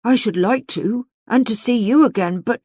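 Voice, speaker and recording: A woman voicing a reserved young gentleman suitor in a novel, speaking formally and emphatically. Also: on the high side, moderate pace, no echo, clean studio sound, pitched above the performer's own voice, close to an everyday voice. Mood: guarded, earnest.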